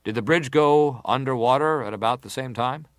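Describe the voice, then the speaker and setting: A man acting as a senator at an inquiry, putting questions in a formal, deliberate and persistent manner. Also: medium pitch, fairly bright tone, clean tone, dry recording, lightly acted. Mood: grave, probing. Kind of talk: dramatized reading of hearing testimony.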